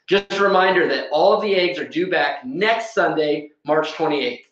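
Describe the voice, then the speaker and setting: A man's voice: on the high side, fairly bright tone. A man in his thirties, a pastor giving church announcements to camera in an upbeat, emphatic, informal way. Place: small plain indoor room. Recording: roomy echo, slightly dull sound.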